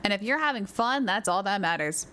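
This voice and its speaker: A woman's voice: medium pitch, animated, a little fast, noisy background. A woman game streamer chatting casually, thinking aloud as she reads and answers viewer comments. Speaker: game livestreamer. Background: faint game music.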